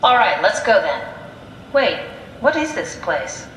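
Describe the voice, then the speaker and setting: Echoey, brisk, pragmatic woman scientist. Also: medium pitch, sing-song, a bit bright, slight background noise. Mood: brisk, curious. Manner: matter-of-fact, analytical, conversational. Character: brisk, curious, pragmatic woman scientist, matter-of-fact about grim things. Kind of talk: video game voice acting. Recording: echoey room sound with music behind.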